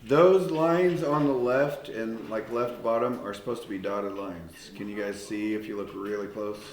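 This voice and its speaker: Male teacher, lowish voice, patient classroom explainer, echoey room. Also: moderate pace. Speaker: math teacher. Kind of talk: classroom instruction. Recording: echoey classroom with faint noise.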